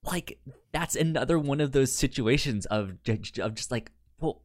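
A man with a stutter chatting casually as a podcast co-host, explaining things haltingly with repeated sounds and restarts. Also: mid-range voice, expressive, no room sound, clean studio sound. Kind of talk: casual chat recapping sitcom episodes.

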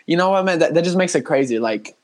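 A man's voice: a little high, animated, fast talker. A young man in his twenties, raised in Honduras, talking casually and earnestly as a podcast guest, with frequent fillers and restarts. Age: 20s. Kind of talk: casual conversational interview.

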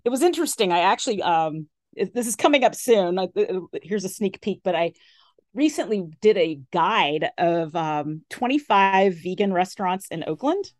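A woman restaurant columnist chatting on a radio interview, full of "like" and "you know" fillers, with an enthusiastic tone whose pitch swings up and down. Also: animated, normal speed, no room sound, clean studio sound. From based in San Francisco area. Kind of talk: casual radio interview chat. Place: radio studio.

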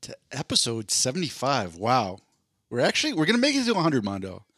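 A man's voice: a little fast, husky, no room sound, studio quality. A man from southeastern Pennsylvania with a slight accent, co-hosting a casual podcast, chatty, with stammered restarts. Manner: chatty, stammering restarts, animated jumps in pitch. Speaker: podcast co-host. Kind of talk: casual chat.

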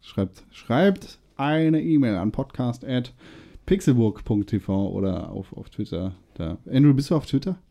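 A young man hosting a podcast, chatting in a relaxed, agreeable way with plenty of filler. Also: mid-range voice, a little slow, creaky. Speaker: podcast host. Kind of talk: casual chat.

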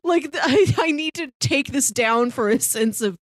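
A woman's voice: high voice, lively intonation, normal speed, dry recording, clean studio sound. A woman co-hosting a casual, conversational discussion about film. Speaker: podcast co-host.

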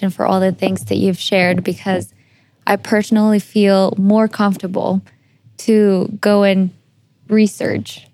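A woman's voice: medium pitch, a little mellow, dry recording. A woman hosting a conversational interview, speaking in an earnest, personal and reflective way. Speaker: podcast host.

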